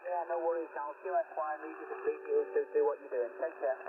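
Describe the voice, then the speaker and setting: Fast-talking man on a noisy radio link. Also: fairly high pitch, steady tone, phone-quality sound. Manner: hurried, a bit halting. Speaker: amateur radio caller. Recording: distant radio signal, phone-thin with noise.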